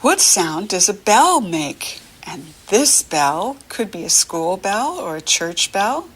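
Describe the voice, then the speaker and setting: A middle-aged American woman leading a read-along lesson for young children, encouraging and teacherly, pausing for the child to answer. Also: medium pitch, sing-song, slightly rough.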